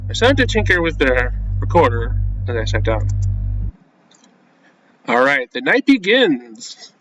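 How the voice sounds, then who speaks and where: A man's voice: mid-range voice, very animated, unhurried, fairly bright tone, a little hiss or hum, low-quality recording. A young man playing a game on video, reading its text aloud and reacting in casual, jumpy slang as he talks to himself. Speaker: gaming video creator.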